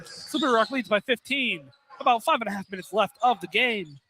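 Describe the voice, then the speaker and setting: A man's voice: very high-pitched, brisk. A man doing live play-by-play commentary of a basketball game from inside a field house. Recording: noisy gym with ball bounces.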